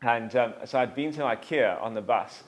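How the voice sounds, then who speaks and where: A man, a tour company founder telling his story in a relaxed, self-deprecating way, full of fillers and asides. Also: mid-range voice, moderate pace, noisy background. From South Africa. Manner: self-deprecating, jokey, rambling with many fillers. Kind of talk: casual interview storytelling.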